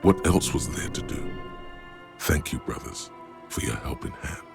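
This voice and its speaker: A man voicing a brooding inner narrator of a detective's mind in a scripted game, gravelly and husky, measured and ominous. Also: rumbling low, animated, over music, heavily acted. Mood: hushed, weary.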